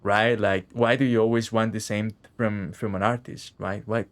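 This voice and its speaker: A man's voice: fairly low pitch, fairly even intonation, normal speed. A man chatting casually on a game live stream, rambling and repeating phrases as he thinks aloud.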